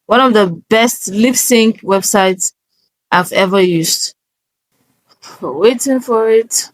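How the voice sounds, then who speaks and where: A woman talks through a casual screen tutorial at an unhurried, slow pace. Her voice sits at a middle pitch and sounds dry and close, and she guides step by step, often checking in. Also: dry recording, poor audio. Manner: instructive, often checking in with "okay?". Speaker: tutorial presenter.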